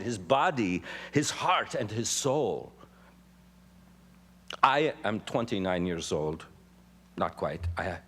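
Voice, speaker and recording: Young fiery labor radical giving a courtroom speech, voice rises and falls a ton, mid pitch. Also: male voice. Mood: tender, resolute. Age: young adult. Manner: impassioned, oratorical, emphatic. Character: impassioned young male labor radical addressing a jury. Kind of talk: dramatic reading of a historical courtroom speech.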